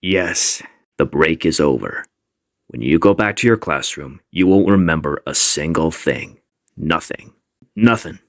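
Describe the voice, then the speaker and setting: A man's voice: rumbling low, expressive, a little slow, dry recording, studio quality, heavily acted. A man playing a scheming, gloating otherworldly villain lord in a comic fandub, theatrical and condescending. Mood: smug, scornful.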